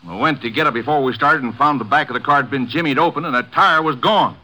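A man's voice: medium pitch, fast, bright, forceful tone, low-quality recording. An actor in a 1950s radio drama playing an indignant, folksy working man who blusters in plain rustic grammar, on an old radio recording.

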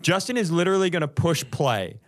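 Male podcast host, pitched a little high. Casual comic chat, animated storyteller, mock-serious build-ups. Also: fairly quick.

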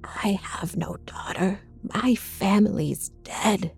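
A woman narrator plays a terrified mother lost in night terrors. She speaks in breathy, drawn-out whispers and strained pleas, confused and full of dread, in an audio horror story with faint music. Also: mid-range voice, darkish tone, heavily acted. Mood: despairing dread. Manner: drawn-out, gasping, pleading.

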